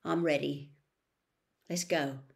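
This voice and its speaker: A woman's voice: sits low, fairly even intonation, takes it slowly, creaky, a little mellow, pitched below the performer's own voice, exaggerated voice. A woman voicing a hesitant, grumbling schoolboy wizard in a storybook reading. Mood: resigned. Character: boy wizard's best friend, hesitant, resigned, grumbling.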